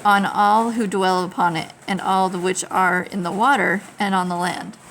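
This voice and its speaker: A woman reading a scripture passage aloud in a soft voice during a casual family Bible study, away from the microphone. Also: mid-range voice, steady tone, normal speed, a little hiss or hum, low-quality recording.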